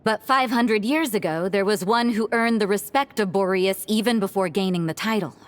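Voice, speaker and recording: Actress doing a cool young nun for a game; blunt, dry, unhurried, mid-pitched. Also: female voice, a little hiss or hum, natural voice. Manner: dry, blunt, matter-of-fact. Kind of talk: video game voice acting.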